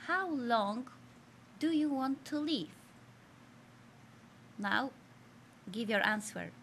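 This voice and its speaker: A woman's voice: high voice, fairly bright tone, a little hiss or hum. A middle-aged woman from Poland with a Polish accent, hosting a health show for seniors in broken English, earnest and encouraging. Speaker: host. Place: studio in front of a green screen.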